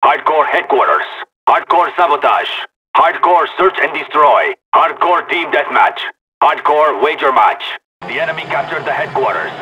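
A man's voice: fairly high pitch, lo-fi sound, noisy background, natural voice. A man voicing a stern military commander in a video game, giving clipped, urgent battlefield callouts. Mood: forceful, commanding. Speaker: multiplayer game announcer.

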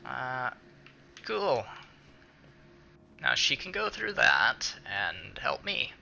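A man's voice: mid-range voice, huge pitch swings, drawn-out words, creaky, pushed and bright, lo-fi sound, a little hiss or hum. A man hosting a casual let's play, muttering, swearing and talking to the game's characters. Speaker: gaming video host. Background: game music.

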